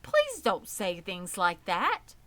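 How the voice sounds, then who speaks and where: A woman's voice: medium pitch, huge pitch swings, takes it slowly, a bit bright, heavily acted. A woman voicing an eager, squeamish young male pig in a children's storybook, earnest and full of exclaimed questions. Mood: dismayed. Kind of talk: children's storybook read aloud.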